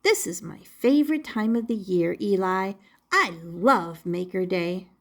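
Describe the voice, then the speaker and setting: A woman voicing an eager, affectionate little wooden boy in a storybook, with an excitable, earnest manner. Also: medium pitch, measured pace, pitched below the performer's own voice. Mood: eager, adoring.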